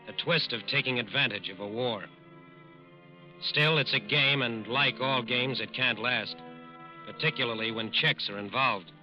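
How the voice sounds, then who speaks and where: Guy narrating old time radio crime story, medium pitch, sardonic, dull old recording w/ faint music. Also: measured pace.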